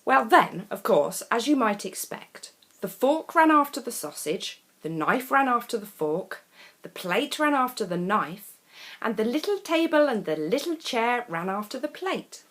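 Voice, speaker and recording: A woman reading a children's picture book aloud as a storyteller, expressive and deliberate, with dramatic pauses between phrases. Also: on the high side.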